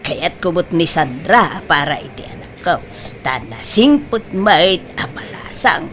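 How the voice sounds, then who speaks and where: A woman's voice: fairly low pitch, animated, a little slow, a bit bright, a little hiss or hum. A woman playing a doting mother of a grown son, emphatic and exclamatory in an Ilocano comedy drama. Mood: pleased, doting. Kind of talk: Ilocano radio-style comedy drama acting.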